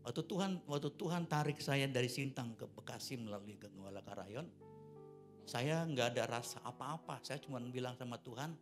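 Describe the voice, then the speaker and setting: A pastor in his sixties preaching a church sermon, chatting through personal stories and then swelling into loud, emphatic preaching. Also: mid-range voice, lively intonation, normal speed, soft background music. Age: 60s. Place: church service.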